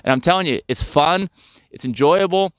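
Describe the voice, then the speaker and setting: A man's voice: a little high, moderate pace, clear, resonant tone, dry recording. A man in his fifties talking casually to viewers outdoors in the woods, rambling and often turning to them with questions. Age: 50s. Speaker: health channel host. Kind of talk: casual talk to camera.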